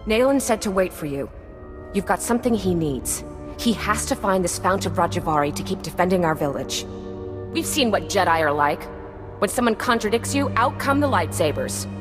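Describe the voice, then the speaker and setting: A woman's voice: medium pitch, animated, moderate pace, music in the background, lightly acted. A woman playing a hostile, demanding villager in a video game, curt and confrontational. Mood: insistent. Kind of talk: video game dialogue acting.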